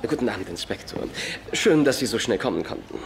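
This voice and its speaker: A shrewd Victorian sleuth, composed and precise. His formal, probing speech swings widely in pitch. A faint ambience lies behind the radio play. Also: expressive, fast, creaky, slight background noise. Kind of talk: audio drama acting.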